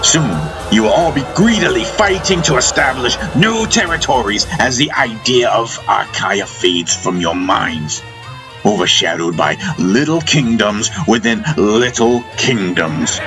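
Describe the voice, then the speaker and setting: A man's voice: on the low side, expressive, unhurried, over music, voice pushed up. A man voicing a stern, scornful spiritual master who rebukes rulers in a declamatory, reproachful manner for an audiobook. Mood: scornful warning.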